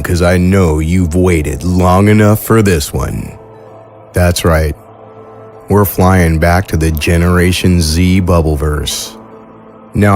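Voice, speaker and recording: A man hosting a fiction podcast, giving a scripted introduction to the show. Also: sits low. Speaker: podcast host.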